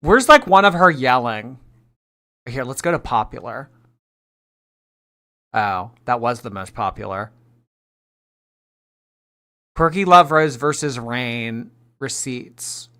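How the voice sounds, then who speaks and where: A chatty woman in her late twenties hosts a livestream reaction, bright and forward in tone. She teases her viewers and drifts between loud bursts and mumbled asides. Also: deep voice, big pitch swings, slow, pauses often. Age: late 20s. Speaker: streamer.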